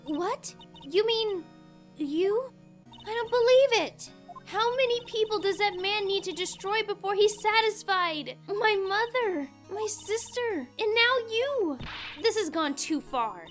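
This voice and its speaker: A woman's voice: very high voice, expressive, takes it slowly, soft background music, heavily acted. A woman voicing an earnest, eager, girlish young woman in a game fan dub, sweet and emphatic. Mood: dismayed, pleading.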